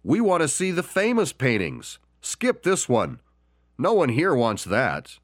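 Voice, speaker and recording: A man voicing an impatient male collector shouting from the back of a room. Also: on the high side, huge pitch swings, a little slow, no echo, studio quality, pitched above the performer's own voice, exaggerated voice. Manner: shouting, dismissive.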